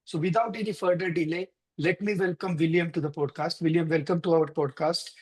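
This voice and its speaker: High-pitched, husky man hosting a podcast. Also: steady tone, brisk. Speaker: podcast host. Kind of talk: podcast introduction and interviewing.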